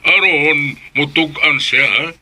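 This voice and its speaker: A determined, upright father in a Cebuano radio drama. His voice is piercingly bright and slightly high, and he speaks with firm resolve. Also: slow pace, heavily acted. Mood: resolute. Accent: Cebuano Filipino. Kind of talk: Filipino radio drama acting.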